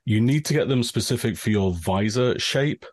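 A middle-aged man with an English accent, a guest calmly explaining gear with frequent fillers. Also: fairly low pitch, normal speed, no echo. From northern England. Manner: relaxed, explanatory, with many fillers. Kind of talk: casual explaining.

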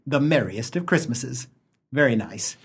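Here is a man playing a hearty, harried husband in a comic radio play. His voice is husky and mid-pitched. He speaks emphatically, with wry, impulsive theatrical flair. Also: animated, a little slow, exaggerated voice. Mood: cheerfully puzzled.

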